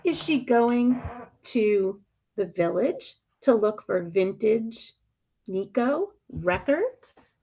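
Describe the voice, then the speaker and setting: Female storybook reader, very lively intonation, noticeable vocal fry, dramatic stresses and pauses. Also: medium pitch, poor audio. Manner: deliberate, dramatic emphasis with pointed questions and pauses. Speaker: media specialist reading a picture book aloud. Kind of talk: expressive picture-book read-aloud.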